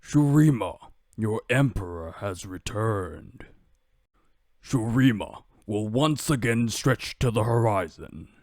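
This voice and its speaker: A man's voice: fairly low pitch, animated, slow pace, a little mellow, dry recording, studio quality. A man voicing a majestic, proud game emperor in a solemn, declamatory manner. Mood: regal, triumphant. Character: majestic proud desert emperor returned to rule.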